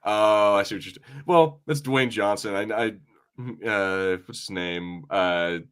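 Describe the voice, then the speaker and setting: A man's voice: on the low side, takes it slowly, a bit bright, clean tone. A man co-hosting a podcast in casual film chat, rambling informally and often cutting himself off. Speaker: podcast co-host. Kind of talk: casual watch-party chat about films.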